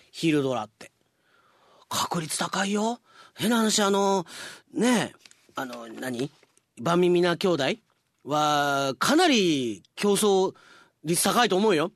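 A man's voice: high-pitched, no echo, studio quality. A man hosting a radio segment, chatting casually to listeners with teasing asides. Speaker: radio host and announcer. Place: radio studio.

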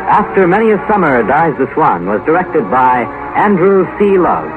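A man's voice: on the high side, sing-song, moderate pace, music in the background. An American man reading scripted announcements as a 1950s radio announcer. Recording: tinny 1950 radio broadcast.

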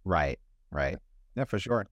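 A man's voice: low voice, animated, takes it slowly, no room sound, clean studio sound. A man hosting a casual interview in a conversational style. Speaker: podcast host, interviewer.

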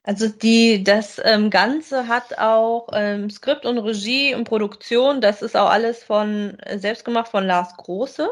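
A woman with a fairly high voice co-hosts a review podcast. She speaks informally, in the conversational style of a chat about audio dramas. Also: unhurried, hardly any pauses. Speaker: podcast co-host.

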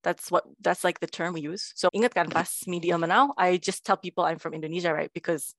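A woman's voice: fairly low pitch, fast, dry recording. A woman in her thirties, a podcast guest chatting casually, switching between English and Indonesian as she tells stories. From Philippines. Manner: mixes English and Indonesian, animated storytelling. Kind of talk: casual chat.

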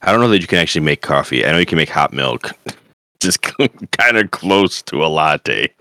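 A man co-hosting a podcast in casual chat, excitable and quick to laugh. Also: very deep voice, no echo, clean studio sound. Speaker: podcast co-host.

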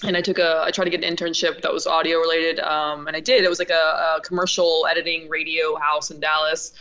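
A woman's voice: sits low, little pitch movement, fast talker, pushed and bright, dull audio. A woman film director as an interview guest, talking candidly and casually, swearing now and then and restarting sentences.

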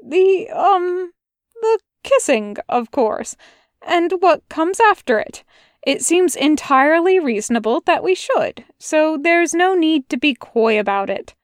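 A woman's voice: high-pitched, very animated, takes it slowly, no room sound, clean studio sound, pitched above the performer's own voice, heavily acted. A woman reading a fan audiobook, voicing a fussy, prim male angel who stumbles over his words.

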